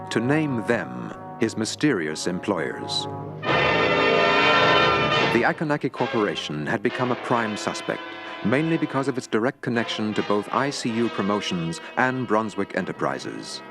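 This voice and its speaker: Man narrating in lively newsreel style. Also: on the low side. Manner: dramatic, newsreel-like. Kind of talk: documentary-style scripted narration.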